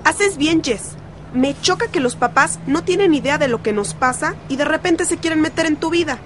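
Fed-up mexican teen girl, super slangy, very high voice, radio soap, faint rumble behind. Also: lively intonation, normal speed. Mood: exasperated. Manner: griping, slangy. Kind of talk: radio soap opera acting.

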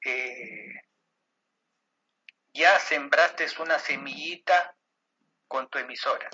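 A man speaks as a radio interview guest in a measured, appreciative, conversational way. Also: mid-range voice, bright, forceful tone, slightly rough. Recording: dull lo-fi remote audio.

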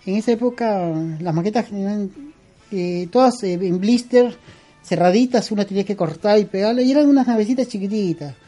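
A man's voice: high-pitched, brisk, dark tone, faint music behind. A middle-aged man from Peru with a Peruvian Spanish accent, a guest chatting in a casual interview, rambling with many fillers and restarts. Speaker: guest, costume and prop maker.

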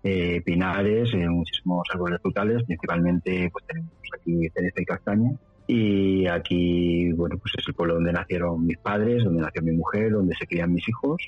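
A man's voice: low-pitched, moderate pace, husky, dry recording. A middle-aged man from Madrid with a central Spanish accent, telling about his life casually as an interview guest. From Madrid, Spain. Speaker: interview guest, rural mountain dweller. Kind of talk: casual conversational storytelling. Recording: dull lo-fi audio, faint music.

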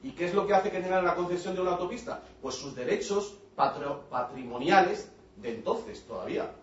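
Audience man with a slightly high voice, halting and repetitive, rising to heated; distant, roomy, dull hall recording. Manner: halting with repeated words, grows heated and forceful. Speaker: audience member. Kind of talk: question-time comment at a public talk.

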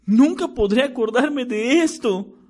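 Emphatic teenage boy, boastful, high animated voice, as a male reader puts him on. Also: moderate pace, slightly rough, exaggerated voice. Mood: frustrated. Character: boastful, lazy schoolboy, teenage friend.

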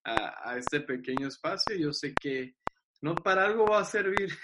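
A man, chatting as a guest on a video call, enthusiastic and quick to laugh, often repeating his words. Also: a little high, expressive. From Mexico. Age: 20s. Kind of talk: casual video-call chat. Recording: loud background hiss on a video call.

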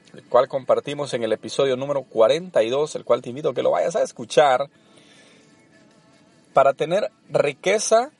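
A man's voice: medium pitch, a little mellow. A man from El Salvador hosting a personal finance podcast, speaking in an earnest, coaching manner with frequent fillers. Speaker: podcast host. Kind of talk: motivational podcast talk.

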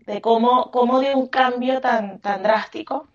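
A woman's voice: on the high side, fairly even intonation, unhurried. A Venezuelan woman answering interview questions conversationally, with an effusive, emphatic way of talking. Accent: Venezuelan Spanish. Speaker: podcast guest, craft business owner. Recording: echoey, distant, muffled microphone.

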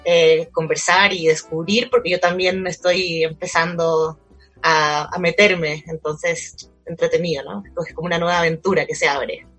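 A woman from Chile speaks in a relaxed conversational way, pausing often with fillers as she thinks aloud. Her voice sits low and has a husky grain. Faint music plays beneath her. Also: low-quality recording. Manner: thoughtful, many hesitations and fillers. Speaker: guest. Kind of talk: casual conversation.

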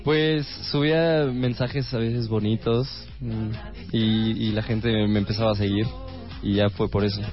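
A man's voice: mid-range voice, steady tone, a little slow, highs cut off, faint music behind. A twenty-year-old Mexican man, a boy band singer, answering shyly and hesitantly on the radio. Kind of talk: casual radio interview.

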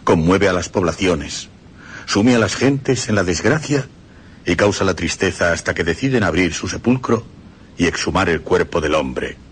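Man, low voice, grave deliberate reading, faint hiss behind. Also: animated. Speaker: reader of a quoted text. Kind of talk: dramatic radio reading.

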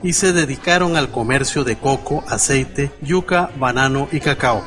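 A man's voice: mid-range voice, normal speed. A man presenting and reading scripted narration as a radio host. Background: music.

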